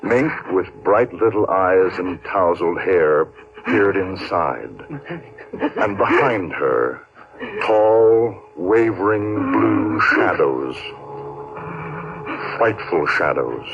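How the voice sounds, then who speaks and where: Noisy, dull old radio recording of an American storyteller. He narrates an eerie tale in a low male voice, grave, dramatic and ominous. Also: animated, extremely slow, heavily acted.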